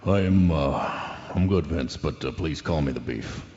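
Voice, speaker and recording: Big dumb retired football player, real low voice, rambly and earnest, muffled with big echo. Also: male voice, a little mellow. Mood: affable, hesitant. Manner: slow-witted, rambling, hesitant, earnest. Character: big, dim, hungry middle-aged male ex-football player. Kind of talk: comedic radio drama guest interview. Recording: dull audio in a reverberant hall.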